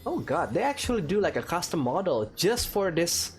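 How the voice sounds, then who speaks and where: A man's voice: high-pitched, big pitch swings, normal speed, soft background music. A man hosting a let's-play, giving informal gaming commentary and thinking aloud. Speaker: gaming video host. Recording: faint background noise.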